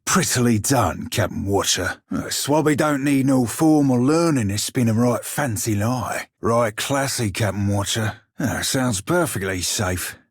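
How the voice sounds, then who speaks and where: An actor playing a small, roguish, salty pirate shiphunter in a video game, with a husky, rough voice and wry nautical slang. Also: male voice, mid-range voice, animated, a little slow, hardly any pauses, dry recording, studio quality. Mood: dry, sardonic. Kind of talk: video game character voice lines.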